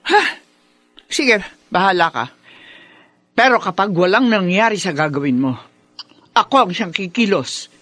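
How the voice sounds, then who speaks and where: A woman's voice: medium pitch, expressive, a little slow, pauses often, a bit bright, no echo. An actress playing an indignant, protective, vengeful middle-aged mother with emphatic radio drama delivery. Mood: fed up, vengeful. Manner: emphatic, melodramatic. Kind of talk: Filipino radio drama acting.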